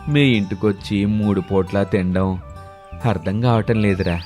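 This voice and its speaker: A man voicing a puzzled, grumbling village husband in an animated moral story, reasoning in a reproachful way. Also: fairly low pitch, measured pace, faint music behind, voice pushed down, close to an everyday voice. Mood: reproachful puzzlement.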